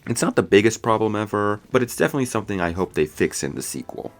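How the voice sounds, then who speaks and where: Low-pitched man narrating a film review. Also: lively intonation, quick pace. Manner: opinionated, casual fan commentary. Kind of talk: scripted video review narration.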